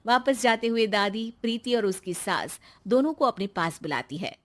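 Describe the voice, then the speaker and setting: A woman reads a scripted moral story as its narrator. Her voice is fairly high as she tells the family tale. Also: animated, normal speed.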